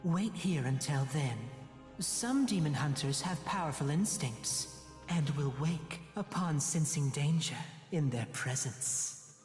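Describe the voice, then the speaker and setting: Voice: medium pitch, animated, slow, darkish tone. An actor voicing a dreamy, sadistic demon in an anime game, speaking with languid, polite delight. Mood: softly menacing. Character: dreamy, sadistic, softly gloating demon who savors despair. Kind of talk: theatrical anime game voice acting. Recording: echoey hall reverb, music behind.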